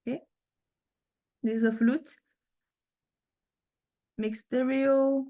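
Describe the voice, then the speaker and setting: A woman lecturer giving an informal software demonstration, thinking aloud in a halting way as she goes. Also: sits high, fairly even intonation, faint music behind. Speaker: music lecturer.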